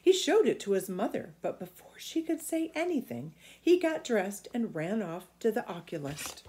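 A middle-aged woman reading a picture book aloud to children in expressive narration, recorded in a room at home. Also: medium pitch, moderate pace. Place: small indoor room at home.

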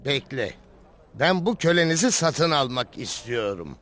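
A man's voice: mid-range voice, very animated, a little slow, a bit bright. A man voicing a stern, shrewd caravan master in a cartoon, questioning and giving orders with authority. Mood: curious, shrewd. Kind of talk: cartoon dubbing.

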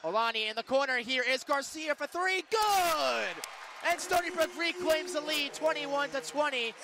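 A man calling basketball play-by-play on the radio, with excitable, punchy calls. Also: very high voice, moderate pace. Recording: arena crowd and court noise behind. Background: basketball game in an arena.

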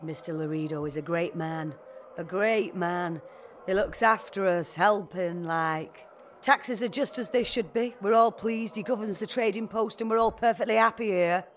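A mercenary brothel madam acted by a woman, in a fantasy game. Her talk is knowing and familiar at a middling pitch, over faint ambient noise. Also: moderate pace, natural voice. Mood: nervous flattery. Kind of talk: video game voice acting.

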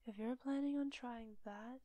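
A woman playing a teasing, manipulative villain kidnapper in roleplay audio, softly half-whispering in a coaxing, unhurried way. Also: on the high side, no room sound, natural voice. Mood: soothing, persuasive. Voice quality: soft, breathy, often whispery. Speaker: voice actor.

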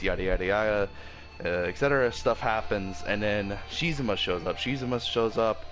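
A man with a very deep voice and a sore throat talks through an episode review. He rambles casually, stumbling over restarts and fillers, while soft background music plays underneath. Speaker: anime reviewer.

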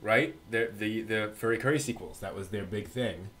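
Middle-aged man, low voice, casual co-host, halting delivery, faint background noise. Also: fairly bright tone. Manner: halting, thinking aloud. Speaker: co-host of an anime news show. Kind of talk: casual chat. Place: indoors on a video call.

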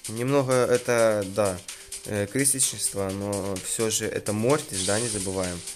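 A slightly hoarse man with a lowish voice talks through his gameplay in a loose, chatty way, thinking out loud and restarting words now and then. Game music plays clearly behind him throughout. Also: fairly even intonation, measured pace. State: slightly hoarse from a sore throat. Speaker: gaming YouTuber. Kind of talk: casual gameplay commentary.

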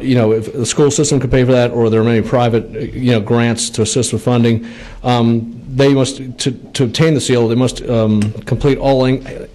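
A man's voice: medium pitch, warm tone. A middle-aged man presenting a bill to a legislative committee from a podium microphone, with many fillers and restarts. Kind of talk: committee testimony.

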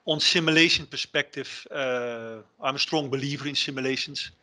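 Dutch-accented man presenting with a bright tone. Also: mid-range voice, normal speed. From Netherlands. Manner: hesitant, many ums and restarts, non-native phrasing. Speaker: conference presenter, terminal IT director. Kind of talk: online conference presentation. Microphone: headset on video call.

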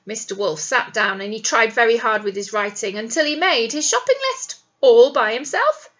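Woman, schoolteacher, picture-book reading, bright forceful voice, very animated pitch, questioning, explanatory. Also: normal speed, muffled recording. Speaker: primary school teacher. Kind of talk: reading a picture book aloud to young children. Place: small indoor classroom. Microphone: webcam or device at arm's length.

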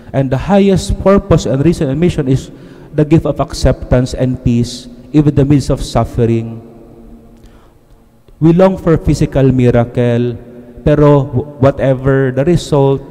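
A middle-aged priest with a Filipino accent preaches a homily from a lectern microphone, slipping easily between Tagalog and English. His tone is mellow and dark. The sound carries the long reverb of a church sanctuary. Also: medium pitch.

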